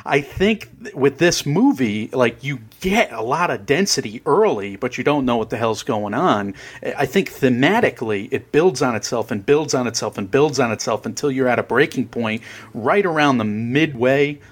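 A man's voice: mid-range voice, animated, moderate pace, breathy edge. Chatty man rambling about a film. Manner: rambling, self-deprecating, laughs at own jokes. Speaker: podcast guest. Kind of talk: casual film review chat.

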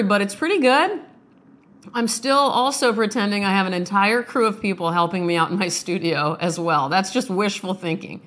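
A woman's voice: medium pitch, a bit bright. A woman hosting a podcast, chatting casually and jokingly about herself before reading a poem, her voice off from a sinus infection. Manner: chatty, self-deprecating, joking. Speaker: podcast host reading poems. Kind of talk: casual chat and poetry reading. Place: small home studio.

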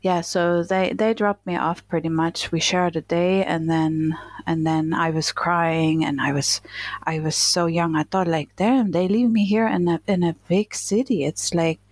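Female guest, middle-aged, German-accented, husky and unhurried, casual storyteller, close dry sound. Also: fairly low pitch, steady tone, no echo. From eastern Germany. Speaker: interview guest, nurse.

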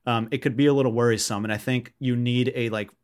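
A man hosting a casual sports podcast, talking in a halting, hedging way. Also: medium pitch, steady tone, darkish tone, clean tone. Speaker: podcast host.

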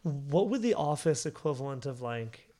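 A man's voice: medium pitch, very animated, moderate pace, dark tone. A man hosting a podcast in casual comedic chat, rambling and often trailing off. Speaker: podcast host.

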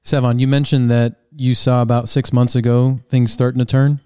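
A man's voice: mid-range voice, flat delivery, normal speed, dark tone, smooth, no room sound, studio quality. A man hosting a podcast, asking questions in a casual, conversational style. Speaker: podcast host, interviewer.

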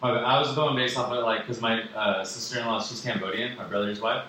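A man's voice: mid-range voice, fairly even intonation, fast talker, sharp tone. A man co-hosting a podcast, chatting casually in an informal, rambling way. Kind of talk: casual chat, anecdotal. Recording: very echoey room, distant from mic, faint noise.

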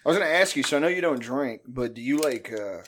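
A man's voice: mid-range voice, lively intonation, quick pace, a bit bright. A man, hosting a casual chat in a rambling, profane, self-deprecating way. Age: 50s. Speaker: podcast host.

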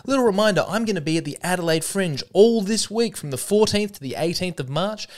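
A man's voice: sits high, expressive, brisk. A man, a comedian hosting his own podcast, talking in a rambling, sarcastic, self-deprecating way. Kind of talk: solo comedic podcast monologue.

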